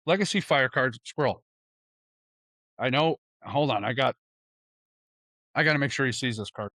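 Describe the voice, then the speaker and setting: A man chatting casually as a host, excitable and slangy, with a bantering way of talking. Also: medium pitch, moderate pace, dry recording, clean studio sound. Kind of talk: casual chat.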